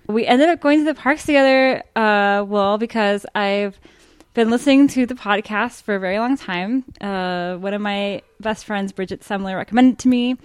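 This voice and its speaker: A woman's voice: medium pitch, clear, resonant tone, no echo, clean studio sound. A woman from Miami, a guest introducing herself in a friendly, rambling way with some fillers. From Miami, Florida. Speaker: guest, listener. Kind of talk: casual self-introduction.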